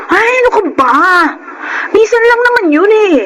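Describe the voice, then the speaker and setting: High-pitched, scheming Filipino schoolgirl. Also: animated, normal speed, fairly bright tone, slight background noise, rough recording. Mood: coaxing. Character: scheming, pushy young female student, fake-friendly user. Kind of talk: Filipino radio drama acting.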